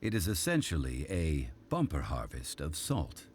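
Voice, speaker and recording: A man voicing a calm, dignified game character who speaks formally and holds people to contracts. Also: very deep voice, a little hiss or hum, heavily acted. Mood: explaining. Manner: formal, measured, old-fashioned speech. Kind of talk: video game voice acting.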